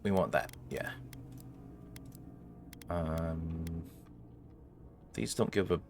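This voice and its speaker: A man's voice: a bass voice, drawn-out words, gravelly, over music. A man giving casual, sardonic, rambling gameplay commentary, with some swearing. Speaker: gaming video host.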